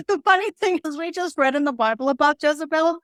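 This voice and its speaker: A woman with a slightly squeaky voice, chatting on a faith podcast in a wry, self-deprecating way. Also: brisk, a bit bright, no room sound, studio quality. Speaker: podcast co-host. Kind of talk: casual faith discussion.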